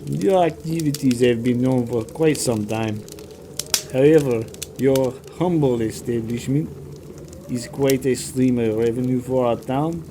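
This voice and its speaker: A man's voice: mid-range voice, a little slow, noisy background, close to an everyday voice. A man playing a smug, falsely genial blackmailing town official in an audio drama, with pompous politeness. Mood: smugly genial.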